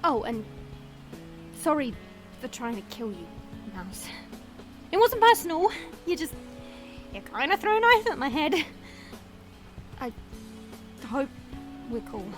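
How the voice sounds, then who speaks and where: A woman acts out a cheeky, restless female vampire in an audio drama. Her voice is high and swings widely as she teases with playful sarcasm. Music plays faintly underneath. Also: very animated, slow, long pauses, heavily acted. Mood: sheepish. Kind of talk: audio roleplay acting.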